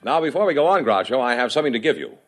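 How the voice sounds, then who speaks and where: A man's voice: medium pitch, big pitch swings, racing, soft background music. A man with an American accent bantering as the announcer on a 1950s radio quiz show. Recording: vintage 1950s radio recording.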